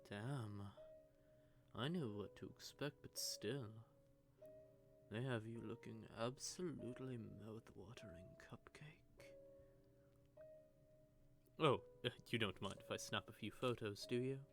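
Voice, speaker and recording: A smug, sardonic male vampire, acted by a man in an audio roleplay. His voice sits at a middling male pitch and is thick with gravelly fry. He drawls teasing taunts straight at the listener, with music playing underneath. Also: measured pace, long pauses, natural voice. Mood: teasing, gloating. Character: smug, sardonic male vampire gloating over a captive hunter. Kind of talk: scripted audio roleplay monologue addressed to the listener.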